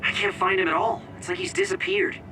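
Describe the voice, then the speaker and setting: An actor playing an eager young tech support teammate in an anime-style video game. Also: male voice, high-pitched, big pitch swings, fairly quick, music in the background, low-quality recording. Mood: helpful, frustrated.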